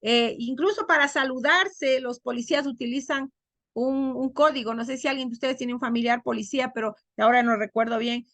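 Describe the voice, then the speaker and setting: A woman teacher leads a class over a video call, her voice set high and recorded close and dry. She quizzes the students as she goes, stretching key words out slowly for emphasis. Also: steady tone, a bit bright, no room sound. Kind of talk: online class lecture.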